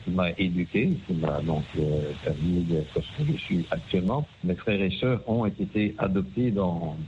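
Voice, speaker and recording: An older man speaking over a tinny phone line, with faint hiss behind him. His voice sits very low, and he talks haltingly, filling pauses and repeating words as he goes. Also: lively intonation, mellow. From Belgium. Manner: hesitant, many fillers and repeated words. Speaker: interviewee. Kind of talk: phone interview. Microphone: telephone.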